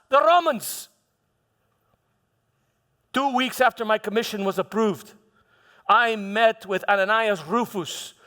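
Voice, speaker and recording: A man playing a proud, exasperated Roman governor in a theatrical first-person stage monologue, halting and repeating words for emphasis as he tells his story. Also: very high voice, sharp tone, heavily acted. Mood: bemused, explaining. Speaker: church dramatist performing a monologue. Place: church hall before an audience. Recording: echoey church hall, music behind.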